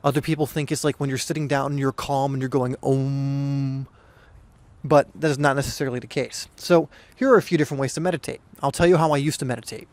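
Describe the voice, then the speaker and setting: A young man hosting a soccer podcast, talking casually and earnestly with a hoarse, slightly weak voice and frequent restarts. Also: medium pitch. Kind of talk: casual solo podcast talk.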